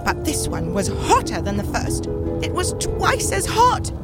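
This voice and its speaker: Woman voicing a high, dramatic storybook teacup. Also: lively intonation, unhurried, over loud music. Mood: distressed, pleading. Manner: theatrical, exclaiming, pleading. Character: beautiful talking teacup, once clay, dramatic and plaintive.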